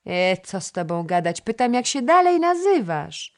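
A woman's voice: medium pitch, big pitch swings, normal speed, voice pushed up. A woman voicing a shy, sulky young page boy who answers in curt, mumbled replies. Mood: grudging.

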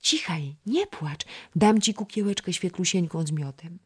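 A woman voicing a shy, humble young village girl who speaks softly and modestly. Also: fairly low pitch, moderate pace, slightly rough, pitched above the performer's own voice. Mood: gentle. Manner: soft, modest.

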